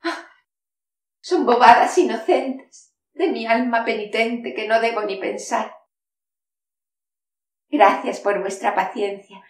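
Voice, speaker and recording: A woman playing a wistful walled-up castle maiden. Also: a little high. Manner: sighing, lyrical, slow declamation. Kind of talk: theatrical monologue in verse. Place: studio with castle backdrop. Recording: echoey room, mic far off.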